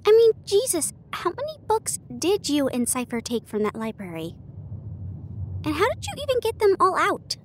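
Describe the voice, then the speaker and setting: A woman performing an intimate audio roleplay as an affectionate, bookish partner, chatting casually with hesitant pauses. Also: extremely high pitch, wildly swooping pitch, a little hiss or hum. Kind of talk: intimate acted roleplay monologue. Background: ambient train sound effects.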